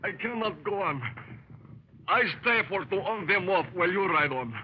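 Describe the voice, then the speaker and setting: An actor playing a loyal, wounded Mexican companion who speaks slow, broken English with a Mexican accent, in a 1930s radio play. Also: male voice, high voice, lively intonation, slight background noise. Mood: resolute. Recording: muffled 1930s radio recording.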